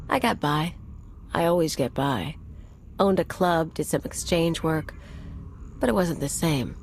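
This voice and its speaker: A brash female anarchist from a video game, tough and sardonic in a lowish voice. Her phrases are clipped and cynical, over faint background noise. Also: lively intonation, unhurried. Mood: cynical, defiant. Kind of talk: video game cutscene acting.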